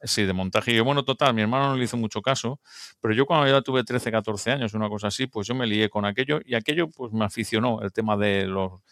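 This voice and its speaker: Chatty low-voiced man from Barcelona. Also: quick pace, fairly bright tone, no room sound, studio quality. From Barcelona, Spain. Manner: chatty, rambling, laughs and restarts often. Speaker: radio amateur guest. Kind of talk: casual interview storytelling.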